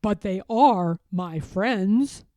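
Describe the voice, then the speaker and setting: A woman's voice: mid-range voice, extremely slow, mellow, dry recording, studio quality, voice pushed down. A woman voicing a kindly, worried peddler man from a children's storybook, musing thoughtfully with emphatic phrasing. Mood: gentle. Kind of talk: children's storybook read-aloud.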